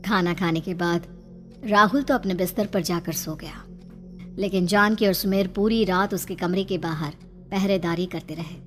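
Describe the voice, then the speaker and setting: A woman's voice: lowish voice, moderate pace, faint music behind. A woman giving scripted narration of a horror story as its narrator.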